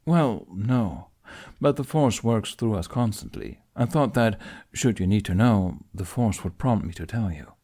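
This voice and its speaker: A man voicing an older male Jedi master who speaks in a measured, apologetic way. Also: on the low side, expressive, mellow. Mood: remorseful. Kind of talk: fan audiobook reading.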